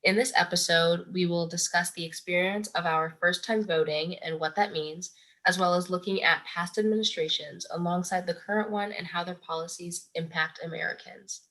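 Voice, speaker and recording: Young woman co-host, bright tense voice, brisk scripted intro. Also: lowish voice, steady tone. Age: young adult. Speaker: podcast co-host. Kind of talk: podcast hosting.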